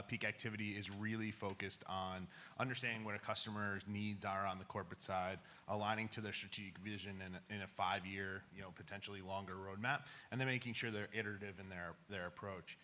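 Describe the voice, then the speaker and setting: Man panelist with a low, bright voice, confident and businesslike, faint room noise. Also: fairly even intonation, fairly quick. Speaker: panelist, tech executive. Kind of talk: conference panel talk. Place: conference stage.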